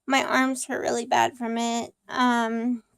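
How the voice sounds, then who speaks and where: A young woman talks slowly into her camera, hoarse from a cold, her fairly high voice catching in a gravelly fry. She rambles and repeats herself, with frequent ums and false starts. The sound is dry and close. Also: flat delivery, fairly bright tone, no room sound. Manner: rambling, repetitive, many ums and restarts. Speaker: vlogger. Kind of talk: casual personal vlog.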